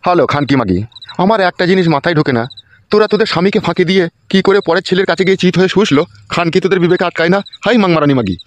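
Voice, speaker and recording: A man's voice: sits high, very fast, slight background noise, close to an everyday voice. A man voicing a jealous, bullying older village husband in a comedy cartoon, cursing and interrogating harshly. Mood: accusing.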